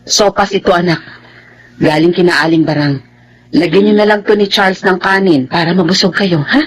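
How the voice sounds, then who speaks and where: A woman's voice: lowish voice, normal speed, a little hiss or hum, close to an everyday voice. An actress playing a humble, weary, poor young mother who does laundry, in a Tagalog radio drama. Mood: tender, tired.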